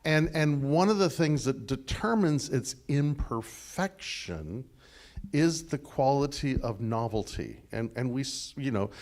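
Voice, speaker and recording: A man with a big voice giving a discursive, animated sermon response, full of fillers and restarts. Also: mid-range voice. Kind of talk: conversational sermon dialogue.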